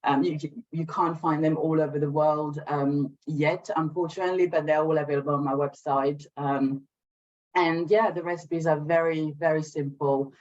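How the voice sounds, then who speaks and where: A woman from Sri Lanka, raised in France, talking conversationally as an interview guest on a video call, with a hesitant delivery that often pauses to regroup. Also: low voice, steady tone, a little mellow, muffled recording. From Sri Lanka, raised in France from age eight. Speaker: guest, small business owner. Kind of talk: conversational interview, telling own story and showing products.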